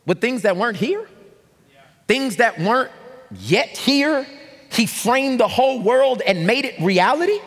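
A man in his thirties preaching a sermon from a large church stage, animated and shouting call-and-response lines to the congregation. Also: extremely high pitch, a little slow. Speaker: pastor. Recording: long reverb of a large hall.

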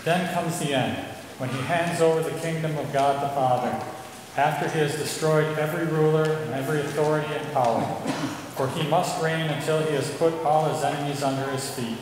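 A middle-aged man reading scripture at a lectern in a large church, measured and deliberate. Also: on the high side, fairly even intonation. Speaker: scripture reader. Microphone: lectern mic. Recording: echoey church hall with noise.

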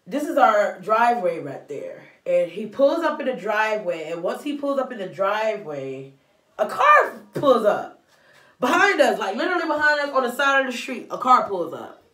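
A young woman from Florida telling a storytime to the camera, animated and slangy, talking straight to her viewers. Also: fairly high pitch, moderate pace, recorded from a distance. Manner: animated, gestures a lot, slangy asides to viewers. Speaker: vlogger. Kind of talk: casual storytime. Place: small bathroom indoors. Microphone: phone on a stand, mid-distance. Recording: echoey bathroom, off-mic, faint music.

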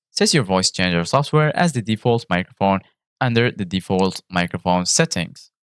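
Man with lively, swooping pitch, tutorial narration. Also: no echo, studio quality. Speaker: tutorial presenter. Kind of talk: scripted tutorial narration, reading a guide aloud.

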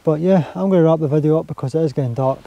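A Scottish man talking casually to his camera while camping on a hilltop, relaxed and chatty. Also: a little high, rapid-fire, creaky, highs cut off. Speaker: outdoor vlogger. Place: outdoors on a windy hilltop campsite. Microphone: handheld camera or mini tripod.

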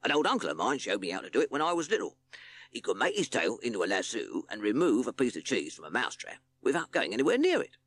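A man's voice: mid-range voice, huge pitch swings, quick pace, a bit bright, pitched above the performer's own voice, exaggerated voice. A man voicing a boastful but hesitant rat in a children's story, rambling and hedging.

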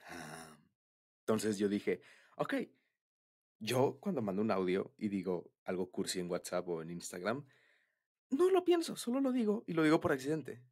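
Low, husky young Mexican man. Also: wildly swooping pitch, normal speed, a little mellow. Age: young adult. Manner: rambling, giggly, informal with slang. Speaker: ASMR roleplay creator speaking as boyfriend. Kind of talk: casual intimate boyfriend ASMR chat.

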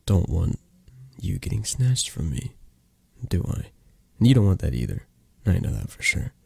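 Man acting out flirty possessive mafia boss, low-pitched, dark mellow tone, hushed halting delivery, intimate ASMR roleplay, close-miked. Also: very animated, no echo, heavily acted. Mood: tender, flirty. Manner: hushed, halting, breathy, drifting into whispers. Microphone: close microphone, dry sound.

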